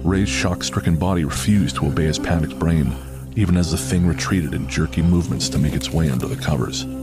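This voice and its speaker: A man narrating a horror audio drama in a measured, literary storytelling manner. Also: rumbling low. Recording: loud music under the voice. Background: music.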